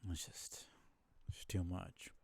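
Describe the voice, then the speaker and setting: A young man giving casual, low-key livestream commentary on a game, rambling without hurry over game music. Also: slow pace, darkish tone. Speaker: game streamer.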